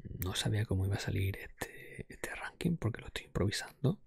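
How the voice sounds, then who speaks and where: Male, ASMR host, soft breathy whispering, creaky fry, very dry close-mic sound, conversational, unhurried. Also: fairly low pitch, animated, dry recording. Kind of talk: ASMR, improvised ranking of video games.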